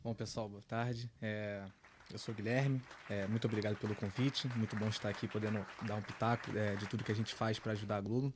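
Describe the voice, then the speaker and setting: A man, a guest on a tech panel, talking in a relaxed, chatty way with many fillers. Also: lowish voice, a little fast, noisy background. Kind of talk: casual panel discussion.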